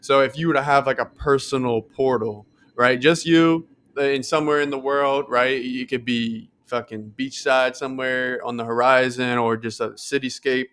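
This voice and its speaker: Male podcast co-host, mid-pitched and clean-toned, loose conversational style with casual swearing. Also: fairly even intonation, normal speed, fairly bright tone, clear, resonant tone. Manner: informal, meandering, profane, posing questions. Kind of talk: casual chat.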